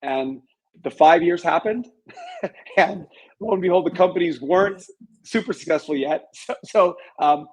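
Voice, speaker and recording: A man's voice: high-pitched, unhurried, darkish tone, husky, low-quality recording. Chatty, candid middle-aged man telling stories. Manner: candid, self-deprecating, chatty. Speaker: interview guest, author and business owner. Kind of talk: casual interview storytelling.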